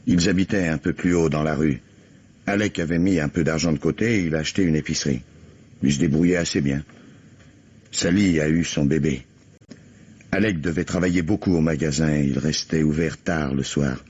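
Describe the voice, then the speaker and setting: An actor playing a gruff, reluctant older father-in-law in a French mystery radio play, talking plainly and haltingly. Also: a bass voice, darkish tone. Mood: weary, plain. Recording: dull vintage radio recording.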